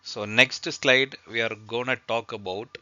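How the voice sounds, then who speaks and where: Male presenter, non-native English, slow and hesitant slide narration, crisp voice on a slightly dull recording. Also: medium pitch, steady tone, sharp tone, dry recording. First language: not English. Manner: halting, hesitant delivery with repeated words. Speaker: presenter of an aviation training lesson. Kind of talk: slide presentation, partly read out.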